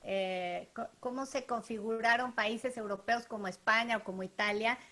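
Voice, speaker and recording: A woman's voice: on the high side, fairly even intonation, normal speed, bright, forceful tone. A Mexican woman with a Mexican Spanish accent, hosting an interview in a chatty way with many tag questions. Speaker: interview host. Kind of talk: conversational interview.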